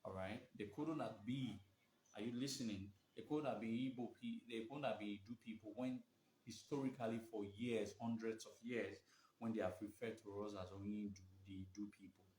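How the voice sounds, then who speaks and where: A man's voice: on the low side, steady tone, brisk, a little mellow, a little hiss or hum. A man hosting a live video from his phone, talking emphatically and often restarting his phrases. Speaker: livestream host. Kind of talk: live video talk answering viewer questions. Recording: echoey room, phone livestream.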